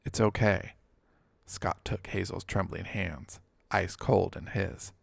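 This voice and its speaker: Male audiobook narrator, lowish and gravelly, scripted fiction read, very dry sound. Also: fairly even intonation, slow, no echo.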